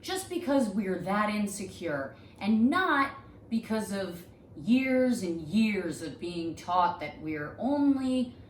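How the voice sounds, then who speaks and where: A woman in her thirties co-hosting a podcast, loud and sarcastic, swearing freely in casual comedic banter. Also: mid-range voice, big pitch swings, slow. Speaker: podcast co-host. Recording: echoey room with faint ambient noise.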